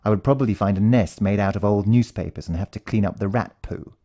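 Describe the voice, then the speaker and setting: British male narrator, low and very mellow, for suspenseful first-person fiction. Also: fast. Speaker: audiobook narrator.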